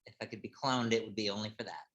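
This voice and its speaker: A man's voice: lowish voice, rapid-fire, a bit bright, slight background noise. A man hosting an online reading event, chatting casually and hesitantly with lots of ums and restarts. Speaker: event host. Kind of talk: casual chat. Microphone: home computer mic on a video call.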